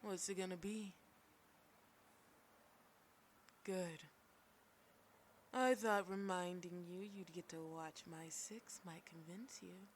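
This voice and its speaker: A woman's voice: lowish voice, steady tone, takes it slowly, a little hiss or hum. A woman voicing a cocky, flirtatious female mafia boss who gives orders in a smug, teasing way. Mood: low, menacing. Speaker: audio roleplay performer. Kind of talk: scripted audio roleplay.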